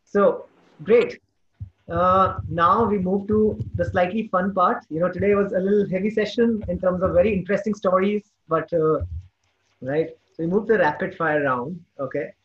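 Podcast host, male, notably high voice, casual chatty interviewing, somewhat lo-fi recording. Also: normal speed.

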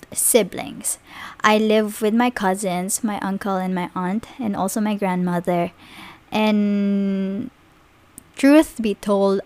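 Slow-talking young Filipino woman. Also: mid-range voice. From Philippines. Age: 20s. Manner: chatty, rambling, with frequent ums and small laughs. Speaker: podcast host. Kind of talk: casual solo podcast monologue.

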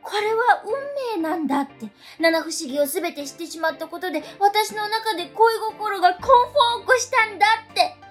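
A woman's voice: extremely high pitch, expressive, fairly quick, few pauses, soft background music. A woman playing a quirky, earnest, lovestruck schoolgirl with a dramatic way of talking in a radio drama. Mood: earnest, infatuated. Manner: dramatic, drops musical terms into her talk. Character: quirky, earnest schoolgirl in love with transfer student.

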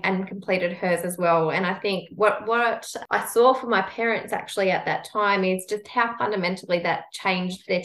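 A woman's voice: medium pitch, vocal fry, fairly bright tone. A woman giving a casual, personal interview answer in a hedging, conversational way. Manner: hedging, conversational, frequent "you know". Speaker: guest, university equity practitioner. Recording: roomy, echoing sound.

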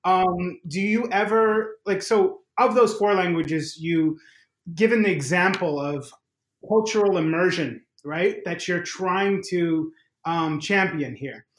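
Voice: medium pitch, takes it slowly, echoey room. A podcast host asking long, meandering questions in a casual interview.

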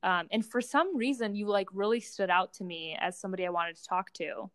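A woman's voice: medium pitch, fairly quick, a bit bright, no room sound, clean studio sound. A young adult woman from Romania co-hosting a casual, reflective podcast conversation, hesitating as she searches for words. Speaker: podcast co-host, doctoral student in psychology.

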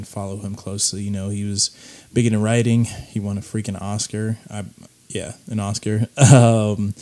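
Low-voiced raspy man, laid-back and flat. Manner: laid-back, rambling with many fillers. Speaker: podcast host. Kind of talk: casual commentary on clips.

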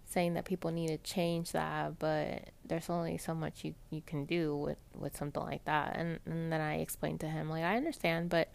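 A young woman talks in a soft, pleasant voice, rambling casually through her week with plenty of fillers. Her tone sits low and carries a gravelly fry. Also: level tone, moderate pace, few pauses. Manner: chatty, rambling, many fillers. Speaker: podcast host. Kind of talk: casual personal monologue.

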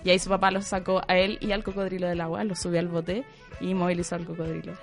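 A woman's voice: on the low side, level tone, fast talker. Woman podcast co-host, loose rambling storyteller, faint music behind. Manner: rambling, many fillers, laughs often. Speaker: co-host presenting the segment. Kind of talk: casual podcast chat.

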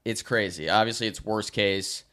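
A man's voice: lowish voice, moderate pace, pushed and bright. A man co-hosting a podcast in casual, conversational sports banter. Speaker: podcast co-host.

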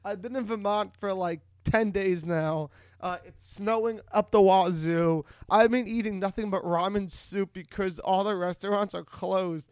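A man hosting a comedic podcast over a video call, telling rambling, excitable stories with some profanity. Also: high-pitched, measured pace, few pauses, clear, resonant tone, no room sound, clean studio sound. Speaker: podcast host.

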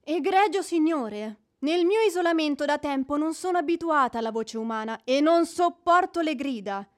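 An actress playing a haughty, easily offended widow in a radio drama, with prim, declamatory and indignant formality. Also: female voice, high voice, expressive, normal speed. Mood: coldly polite. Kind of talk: radio drama stage acting.

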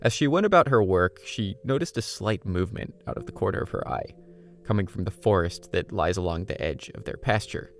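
A man's voice: low voice, animated, a little fast, creaky, slight background noise. A man hosting a podcast, reading a scripted story with a dramatic, suspenseful delivery. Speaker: podcast host.

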